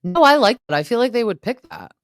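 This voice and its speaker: A woman hosting a casual gossip podcast, supportive and quick to agree with her guest. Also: a little high, sing-song, no echo. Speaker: podcast host.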